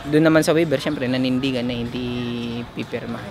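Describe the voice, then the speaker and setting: A man's voice: medium pitch, fairly even intonation, a little fast. A young man with a Filipino accent giving a statement as a student activist. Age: young adult. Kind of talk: spoken statement to camera. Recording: noisy outdoor street with traffic.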